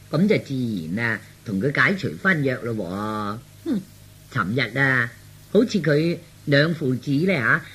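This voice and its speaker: A man voicing a gossipy, tipsy middle-aged aunt, flattering and frank, chatting with drawn-out interjections in Cantonese radio drama. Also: medium pitch, slightly rough, heavily acted.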